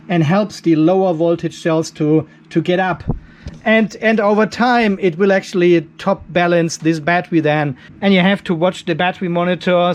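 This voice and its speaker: A middle-aged man giving a casual hands-on demonstration, chatty and informal, thinking aloud as he works. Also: fairly high pitch. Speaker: host of a DIY off-grid battery channel. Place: garage workshop.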